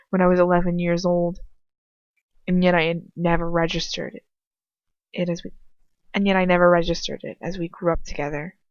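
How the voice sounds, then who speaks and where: Amateur female story reader, lowish voice, informal and self-correcting, dry lo-fi recording. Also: no room sound. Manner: informal, stumbles and corrects herself. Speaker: amateur fan fiction reader. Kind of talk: audiobook-style story reading with casual asides.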